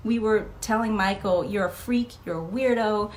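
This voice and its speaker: A woman's voice: medium pitch, normal speed. Chatty woman guest, faint background noise. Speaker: interview guest. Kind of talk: casual anecdotal podcast chat. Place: live podcast taping.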